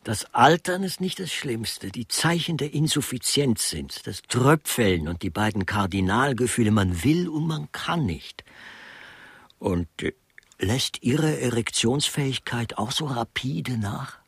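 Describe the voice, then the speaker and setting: An actor in a radio play playing a cynical, blunt aging intellectual with mannered, learned phrasing. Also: male voice, medium pitch, expressive, a little slow, slightly rough, dry recording. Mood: breezy, cynical. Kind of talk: German radio play acting.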